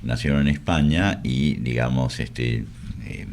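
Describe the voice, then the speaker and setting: A man's voice: rumbling low, a little slow, creaky, darkish tone, slight background noise. A man from Argentina with a Buenos Aires accent, a wry radio guest who hesitates with many fillers. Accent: Buenos Aires Spanish. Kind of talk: casual radio conversation.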